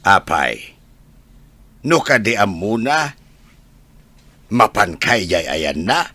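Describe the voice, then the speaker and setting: A man playing a blunt, exasperated old husband with a husky, gravelly voice in an audio drama. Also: fairly low pitch, sing-song, slow, sharp tone, no echo, full character voice. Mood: irritated. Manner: grumbling, blunt. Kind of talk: Ilocano audio drama acting.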